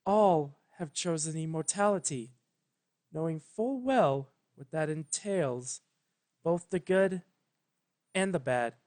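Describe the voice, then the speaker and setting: A man voicing an ancient regal female pony princess, tender, proud and sorrowful, speaking solemnly and haltingly. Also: on the high side, expressive, darkish tone.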